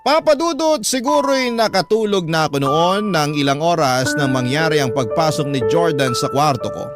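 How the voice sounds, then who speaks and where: A man acts as a young working student telling a life story in a radio drama. The voice is a little high, earnest and confiding, with clear music behind it. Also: expressive, normal speed, close to an everyday voice. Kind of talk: scripted first-person radio drama narration. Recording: prominent music bed behind voice.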